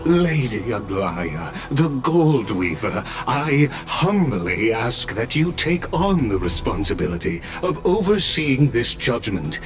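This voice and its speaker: An actor playing a composed, courtly dignitary in a game cutscene, speaking in a measured, solemn, formal way. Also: male voice, medium pitch, very animated, faint music behind. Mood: courteous, solemn. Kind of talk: scripted game cutscene acting.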